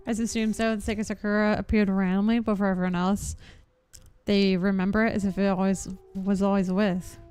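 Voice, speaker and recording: A woman's voice: mid-range voice, flat delivery, a little fast, a little mellow, smooth. A woman giving casual live-stream gameplay commentary, musing aloud in an informal way. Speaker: game streamer.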